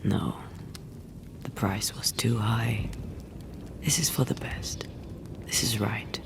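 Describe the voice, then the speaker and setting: A woman's voice: a bass voice, takes it slowly, creaky, whispered, full character voice. An actress playing a solemn, principled ghost hunter woman in a dramatic video game. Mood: solemn, resigned.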